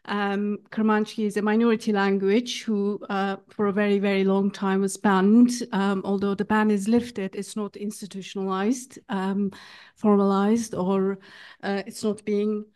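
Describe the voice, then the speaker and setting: A woman's voice: mid-range voice, flat delivery, unhurried, a little mellow, smooth. Hesitant Kurdish woman introducing a musician. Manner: hesitant, with frequent fillers and restarts. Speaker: event speaker introducing a musician. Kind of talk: informal spoken introduction at a literary event. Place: live public event.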